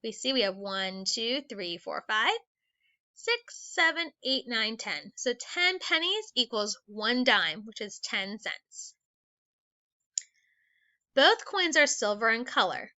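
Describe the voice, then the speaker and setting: A woman teacher with a fairly high, very animated voice reads aloud for young children. She is instructional and takes things step by step. The recording is a slightly dull screencast voice-over. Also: slow, clear, resonant tone. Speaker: elementary school teacher.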